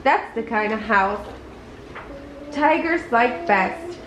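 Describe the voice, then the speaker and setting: A woman's voice: a little high, expressive, takes it slowly. A woman voicing an eager, bouncy, childlike male storybook tiger in simple, exclamatory phrases. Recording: echoey room with clear background noise.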